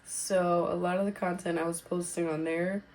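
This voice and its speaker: A woman hosting a podcast as casual solo girl talk, informal and reassuring, her voice slightly raspy as she recovers from being sick. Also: lowish voice, flat delivery, normal speed, a little mellow. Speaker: podcast host.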